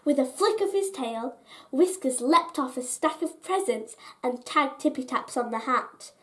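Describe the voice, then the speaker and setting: Slow, high-pitched woman reading bedtime stories. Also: animated, a bit bright. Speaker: storyteller reading aloud. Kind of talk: expressive children's bedtime story reading.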